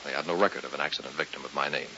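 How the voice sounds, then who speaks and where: Gravelly, very deep-voiced, frightened husband. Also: male voice, steady tone, rapid-fire, bright, forceful tone, heavily acted. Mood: desperate, confessing. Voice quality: gravelly, very low. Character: frightened, exhausted husband haunted by a strange accident. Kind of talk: vintage radio drama acting. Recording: vintage radio, dull with faint hiss.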